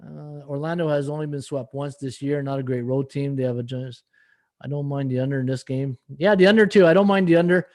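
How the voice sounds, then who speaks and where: A slightly hoarse middle-aged man hosting a live sports betting podcast, rambling through stats and viewer comments with many fillers. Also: medium pitch, brisk, a little mellow. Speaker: podcast host and sports handicapper. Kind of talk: casual live-stream betting analysis.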